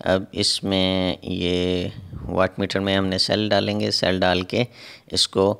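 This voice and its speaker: A man's voice: sits low, completely flat delivery, slow, creaky, fairly bright tone, smooth. A man narrating a step-by-step repair tutorial as an instructor, in a methodical way with repetitive phrasing.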